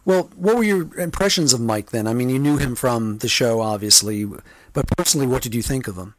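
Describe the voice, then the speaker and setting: A man's voice: mid-range voice, fairly quick, poor audio. A man hosting a podcast interview, chatting casually and agreeing readily with his guest.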